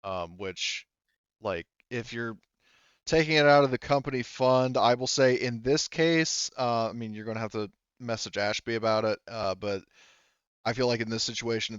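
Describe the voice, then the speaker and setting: Smooth-voiced man running a tabletop game. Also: on the low side, a bit bright, no echo, lo-fi sound. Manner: halting, thinking aloud, blunt swearing. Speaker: game master. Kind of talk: running a tabletop roleplaying game, casual talk.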